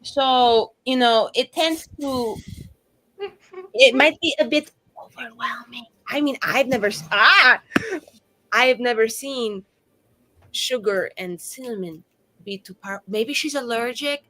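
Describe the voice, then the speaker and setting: A woman's voice: on the high side, slow, sharp tone, no room sound, low-quality recording. A woman playing a chatty, earnest fantasy adventurer in improvised tabletop banter, rambling as she gossips. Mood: gossipy. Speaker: tabletop roleplay player.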